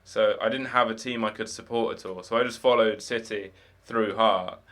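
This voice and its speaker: A young man with no particular accent chats through a late-night game stream, his voice sitting slightly low. He rambles loosely, restarting sentences and padding them with fillers. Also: fairly even intonation, normal speed, fairly bright tone. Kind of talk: casual live-stream chat.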